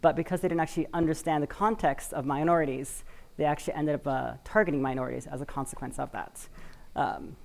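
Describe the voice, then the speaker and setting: A woman from Washington State speaks from a conference stage in a loose, conversational way, leaning on fillers and hedges. Her voice sits low with a gravelly, creaky edge. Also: fairly even intonation, fast talker, darkish tone. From Washington State, USA. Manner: conversational, many fillers and hedging words. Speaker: conference speaker for a community cooperative. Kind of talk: live conference talk. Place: conference stage, in front of an audience.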